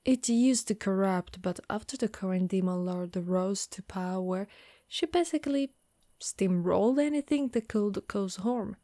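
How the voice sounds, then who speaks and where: A woman voicing a gentle, protective undead warrior woman in an ASMR monster roleplay, speaking softly and reassuringly close to the mic. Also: medium pitch, a little slow, no room sound, close to an everyday voice. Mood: calm, explanatory. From Italy. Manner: soft, caring, reassuring.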